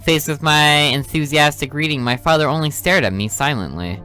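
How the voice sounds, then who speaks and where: A man's voice: on the high side, brisk, smooth, soft background music. Man reading a game story aloud. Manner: reads narration evenly, drops into quiet asides. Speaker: let's play host. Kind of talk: reading a visual novel aloud with asides.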